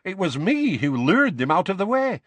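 Storybook casting: young witch, played by a man, high, animated, impish and full of cheek. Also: normal speed, dry recording. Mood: gleeful.

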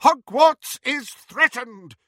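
A man voicing a stern, commanding older female professor in an audiobook, brisk and sharp in manner. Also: a little high, very animated, dry recording, studio quality, pitched above the performer's own voice, exaggerated voice. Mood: rousing command.

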